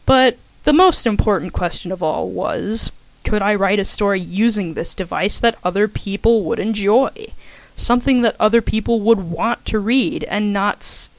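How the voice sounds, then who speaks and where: A woman's voice: medium pitch, moderate pace, no echo, rough recording. A young adult woman reading her own casual commentary as an author, chatty and self-deprecating, now and then stumbling over her words. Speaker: fan fiction author. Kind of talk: casual scripted personal commentary, read aloud.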